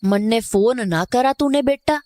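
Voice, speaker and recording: A woman's voice: fairly high pitch, measured pace, a little mellow, no room sound, natural voice. A woman playing a wary older village caretaker in an audio drama, speaking rural North Indian Hindi dialect in a folksy, cautioning way. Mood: wary, explaining.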